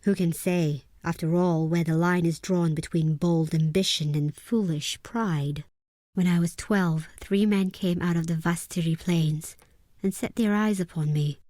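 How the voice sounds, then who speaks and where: A woman playing a fierce, proud and bitter desert tribeswoman in a video game, speaking in solemn, deliberate, formal phrases. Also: fairly low pitch, steady tone, warm tone, no room sound, studio quality, lightly acted. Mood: reflective, then cold, vengeful. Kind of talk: scripted video game character dialogue.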